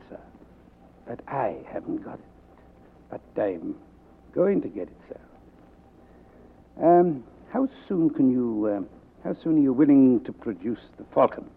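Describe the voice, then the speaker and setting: An actor playing a suave, greedy fat crime boss with florid, courteous manners in a 1940s radio drama. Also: male voice, mid-range voice, very animated, a little slow, vocal fry, long pauses, a little hiss or hum. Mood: covetous, calculating. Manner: florid, formal, courteous address. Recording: tinny vintage 1940s radio recording.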